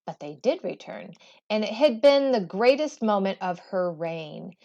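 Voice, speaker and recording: A woman teacher reading a storybook aloud with expressive, deliberate, emphatic phrasing. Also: medium pitch, creaky, highs cut off.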